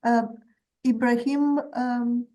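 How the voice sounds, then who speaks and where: A woman's voice: on the high side, little pitch movement, takes it slowly, mellow, low-quality recording. A woman moderating a panel over a video call, giving brief, hesitant cues to each speaker.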